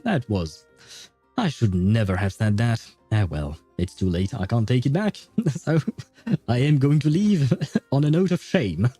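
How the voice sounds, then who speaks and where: A man with a mellow, relaxed voice talks to his livestream viewers. He rambles in a chatty, teasing way, recorded close and dry with faint music behind him. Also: medium pitch, unhurried, breathy edge, dry recording. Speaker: livestream host. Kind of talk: casual livestream sign-off chat with viewers.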